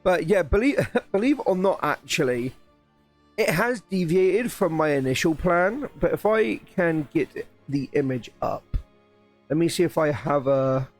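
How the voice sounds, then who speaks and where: Streamer: man, somewhat high, a bit croaky, excited rambling talk over soft background music. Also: measured pace. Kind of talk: casual live-stream chat while building.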